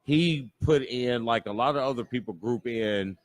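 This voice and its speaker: A man's voice: medium pitch, moderate pace, poor audio. A middle-aged man with a Midwestern American accent, co-hosting a live sports talk show in a bar, joking and rambling with lots of fillers. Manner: jokey, rambling, with many fillers. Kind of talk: casual live sports chat. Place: bar patio.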